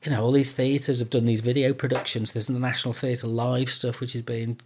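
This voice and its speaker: A man with a medium-pitched voice chats as a podcast host. He talks in a loose, rambling manner, circling back over phrases as he feels his way through a thought. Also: flat delivery, fairly quick, a little hiss or hum. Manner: rambling, tentative, often repeats phrases. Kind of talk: casual interview chat.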